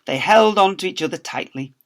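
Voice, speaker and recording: A man's voice: high voice, animated, brisk, gravelly, fairly bright tone. A man, a teacher, reading a storybook aloud to young children with playful, theatrical emphasis.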